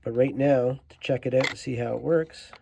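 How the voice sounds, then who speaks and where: A man's voice: medium pitch, steady tone, moderate pace, gravelly, noisy background. A man giving a casual hands-on how-to narration, informally thinking aloud as he works. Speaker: host of a toy restoration tutorial.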